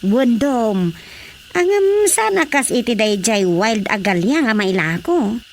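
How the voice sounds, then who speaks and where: An actress playing a gossipy village woman in a radio comedy, chatty and expressive, with an Ilocano accent. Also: on the high side, takes it slowly, a little hiss or hum, heavily acted. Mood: chatty, amused.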